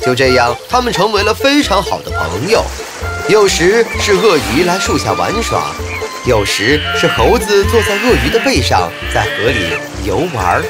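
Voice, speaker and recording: Animated male fairy-tale narrator. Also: fairly high pitch, normal speed. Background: music.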